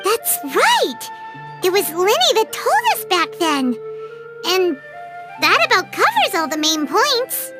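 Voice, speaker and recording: A woman voicing a tiny, childlike companion creature in a video game, curious, excitable and cheeky. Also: extremely high pitch, takes it slowly, loud music behind the voice, full character voice. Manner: childlike, expressive, inquisitive. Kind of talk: scripted game cutscene dialogue.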